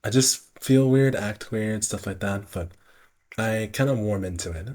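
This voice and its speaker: A man live streaming, reading visual novel narration aloud in a halting way, often stumbling and repeating phrases. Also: fairly low pitch, a little mellow.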